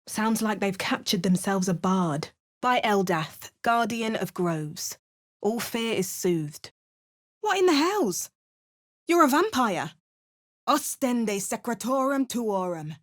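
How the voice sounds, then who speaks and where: Woman doing a hammy mock-Cockney adventurer. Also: medium pitch. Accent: exaggerated mock Cockney. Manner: hammy, theatrical spell chants. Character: wandering female adventurer hero, wry and dramatic. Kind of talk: parody game voice lines.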